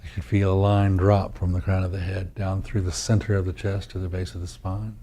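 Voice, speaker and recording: A man leads a guided meditation in a very dark, soft-edged, mellow voice. His pitch sits on the low side. He gives instructions deliberately, in short measured phrases with space between them. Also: little pitch movement, a little hiss or hum. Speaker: meditation teacher.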